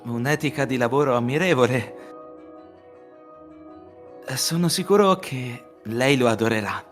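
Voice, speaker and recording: A man voicing a modest, polite young gentleman in a live visual novel reading. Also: mid-range voice, measured pace, soft background music, lightly acted.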